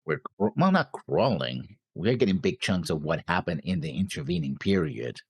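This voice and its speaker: A deep-voiced man with a husky edge thinks aloud in a casual, rambling co-host chat. His pitch moves around in a very lively way, and the sound is close and dry. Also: normal speed, dry recording. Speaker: co-host of an anime reaction show.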